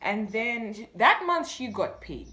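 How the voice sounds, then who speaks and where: Young female storyteller, Malawian accent, higher-pitched voice, chatty and expressive, roomy echo. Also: a little slow. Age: young adult. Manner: animated retelling, acting out dialogue. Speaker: YouTube storyteller. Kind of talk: casual storytime chat. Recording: echoey room sound.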